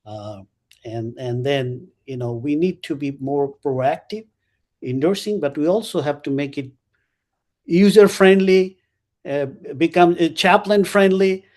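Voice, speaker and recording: Halting male chaplain on a panel. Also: fairly high pitch, darkish tone. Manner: halting, many fillers and restarts. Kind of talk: panel remarks on a video call.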